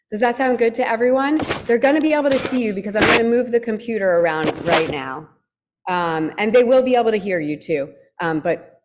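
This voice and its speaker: A woman's voice: medium pitch, in a large hall. A woman moderating a panel event, explaining the program in a friendly way with some fillers. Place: event hall.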